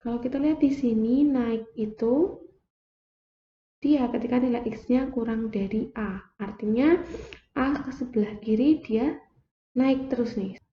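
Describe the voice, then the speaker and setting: A young woman explaining a math lesson slowly, step by step, like a teacher at a board. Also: fairly high pitch, slight background noise. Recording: echoey room, dull lo-fi sound.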